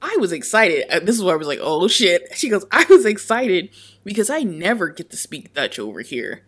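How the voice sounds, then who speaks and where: A woman co-hosting a podcast, reading a post aloud in an animated way and laughing at herself. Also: lowish voice, fairly quick, husky. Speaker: podcast co-host.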